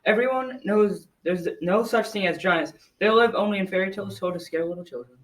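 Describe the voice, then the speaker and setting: A woman's voice: mid-range voice, brisk, distant mic, natural voice, low-quality recording. A young adolescent girl playing a sly, coaxing old talking tree in a school radio drama. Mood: sly, dismissive. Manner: coaxing, persuasive.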